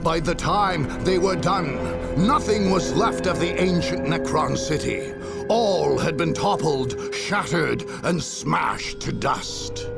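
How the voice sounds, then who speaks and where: An actor narrating as a grandiose war chronicler in a dark sci-fi game, with a raspy, portentous, emphatic delivery. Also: male voice, on the high side, expressive, takes it slowly, loud music behind the voice, exaggerated voice. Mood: grandiose, ominous. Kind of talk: dramatic scripted narration.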